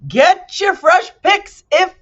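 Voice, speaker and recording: Storybook street vendor, played by a woman: high, loud, drawn-out calls, pushy salesman patter, muffled recording. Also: exaggerated voice. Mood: loud hawking. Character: booming male market hawker, loud and pushy.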